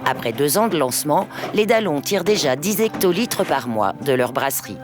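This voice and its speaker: A woman's voice: sits low, normal speed. A woman reporter reading a scripted news report voice-over. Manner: scripted, lively narration. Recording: music bed under the voice.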